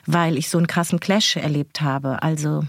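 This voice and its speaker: A woman's voice: sits low, fairly even intonation, normal speed, creaky, no echo, studio quality. A German woman co-hosting a podcast, talking in a reflective, searching way with many fillers and restarts. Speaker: podcast co-host. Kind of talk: casual podcast conversation.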